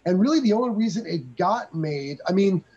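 A man co-hosting a casual movie review, telling behind-the-scenes stories in an animated way. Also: high-pitched, brisk, breathy edge, highs cut off. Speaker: podcast co-host.

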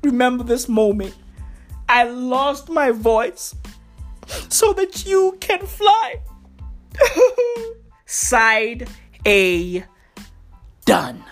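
A woman hosting a podcast in a loud, profane comedic rant, her voice going hoarse. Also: on the high side, drawn-out words, long pauses, faint music behind. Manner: loud, excitable, swearing constantly, slangy. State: hoarse, losing voice. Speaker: podcast host.

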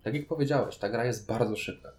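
A man's voice: mid-range voice, fast talker, a little mellow, soft background music. A man in casual conversation, co-hosting a podcast and reviewing games, recorded with a roomy echo. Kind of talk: casual chat, board game review.

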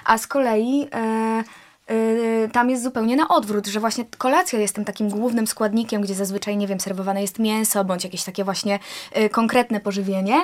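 A chatty young woman from Poland talking freely as an interview guest. Her voice sits a little high, and she speaks in long, flowing runs. Her manner is lively and easygoing. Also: normal speed. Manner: chatty and lively, laughs easily. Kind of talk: casual conversation.

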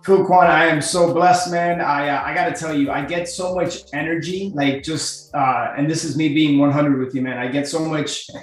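A middle-aged man from Miami talks as a podcast guest. His voice is fairly high, and he tells his story earnestly and informally. The recording is heavily echoey and roomy. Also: steady tone, normal speed, hardly any pauses, soft background music. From Miami, United States. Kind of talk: casual personal storytelling.

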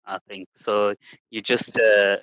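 A man's voice: lowish voice, little pitch movement, takes it slowly, a bit bright, clean tone, no echo. A man hosting a casual sports podcast over an online call, steering the talk back on topic. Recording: dull, dry online call audio.

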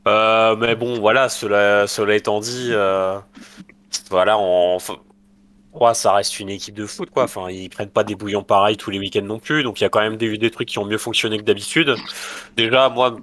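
Low, smooth male co-host with a cold, measured and hesitant football analysis. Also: fairly even intonation, a bit bright. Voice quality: deep and smooth. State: has a cold. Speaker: podcast co-host.

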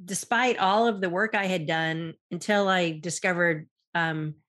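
A woman's voice: fairly low pitch, unhurried, fairly bright tone. An American woman speaking as a hypnotherapist and podcast guest, explaining things casually and acting out short quotes. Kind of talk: casual explanatory interview talk.

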